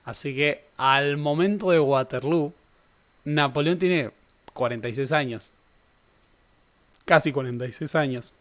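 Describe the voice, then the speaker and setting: A man's voice: a little high, unhurried, long pauses, a bit bright. A young man giving a casual, conversational history lecture. He corrects himself often and adds joking asides. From Argentina. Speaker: history teacher.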